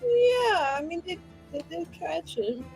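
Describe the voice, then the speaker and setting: A woman plays a sweet noblewoman with a very high, childlike voice. She speaks slowly and plaintively, dramatic in her dismay, as a character in a tabletop roleplaying game. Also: big pitch swings, darkish tone, soft background music, heavily acted, poor audio.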